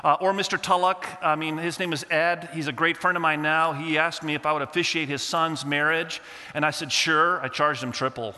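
A man preaching and telling a humorous story in a lively way, with a fairly high, bright voice. He grew up in Indonesia and spoke Indonesian before English. His voice rings out with the long echo of a big hall. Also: a little fast, slight background noise. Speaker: pastor. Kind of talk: sermon storytelling.

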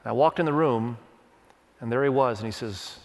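A middle-aged man who grew up in Indonesia, with Indonesian as his first language, preaching a sermon that mixes personal stories with scripture reading in an earnest storytelling manner. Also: medium pitch. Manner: earnest storytelling, leans on verses, quick restarts. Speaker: pastor. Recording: long hall reverb.

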